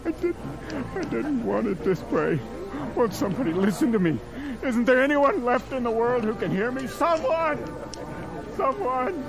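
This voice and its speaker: A man's voice: extremely high pitch, sing-song, moderate pace, vocal fry, full character voice. An actor playing a desperate man who believes he is the last person alive, pleading and breaking down. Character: desperate grown man alone in a ruined city. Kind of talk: radio drama acting.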